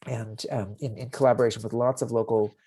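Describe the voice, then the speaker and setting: A man from the United States leads a webinar on a video call. His voice is mellow and warm at a middle pitch. He talks in halting bursts, often repeating words and restarting his sentences. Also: steady tone, breathy edge. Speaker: webinar facilitator. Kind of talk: live webinar presentation.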